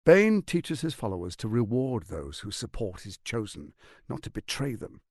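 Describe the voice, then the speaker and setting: A man's voice: mid-range voice, very animated, moderate pace, darkish tone, no echo, studio quality. A man playing a suave, scheming, arrogant tyrant lord in a video game, with a pompous, silky, theatrical delivery. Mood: persuasive.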